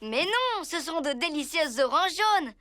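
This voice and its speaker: A performer voicing an eager, dreamy young rabbit child in a French preschool cartoon, with expressive exclamations and an impatient but apologetic nature. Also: very high voice, unhurried, no room sound, full character voice. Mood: insistent.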